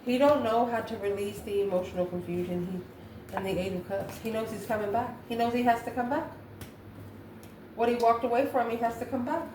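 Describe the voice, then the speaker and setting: A woman's voice: fairly high pitch, fairly quick, a little mellow. Echoey-room woman reading tarot aloud. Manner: musing aloud, asks herself questions and answers them. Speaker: tarot reader, channel host. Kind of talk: improvised spoken tarot card reading. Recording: echoey room, faint background noise.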